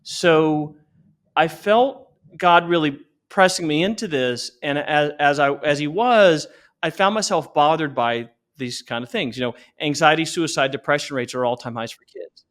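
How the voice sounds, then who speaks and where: A man in his forties speaking as an interview guest, earnestly explaining and pitching in a conversational way, often restarting his sentences. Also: moderate pace, fairly bright tone. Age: 40s. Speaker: interview guest, company founder.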